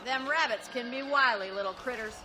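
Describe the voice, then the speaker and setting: A woman's voice: on the high side, fairly quick, music in the background, lightly acted. An actress playing a spirited young ranch woman in a Western video game, with an American Western accent and a folksy, teasing way of talking. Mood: wry, friendly.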